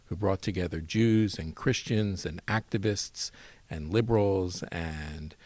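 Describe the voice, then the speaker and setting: A man's voice: deep voice, measured pace, a little mellow, dry recording. A man speaking as a theater director, explaining his work in an interview. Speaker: play director being interviewed.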